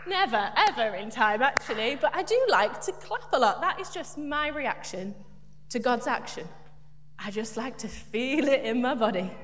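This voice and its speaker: A woman's voice: on the high side, lively intonation, moderate pace. A woman preaching a light, humorous sermon in church, chatty and self-deprecating. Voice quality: big loud voice. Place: church hall. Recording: echoey church hall, faint hum.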